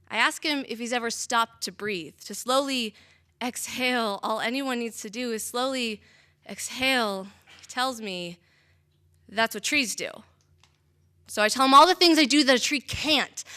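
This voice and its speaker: A young woman performing spoken-word slam poetry with a dramatic, deliberate delivery and measured pauses. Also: high-pitched, pushed and bright. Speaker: slam poet.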